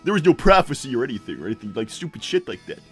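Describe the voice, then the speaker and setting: A man's voice: mid-range voice, sing-song, husky, soft background music. A man voicing a short, crude cartoon hedgehog dad, rambling and repeating himself matter-of-factly in improvised livestream banter. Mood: casual, smug. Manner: halting, repetitive, matter-of-fact oversharing.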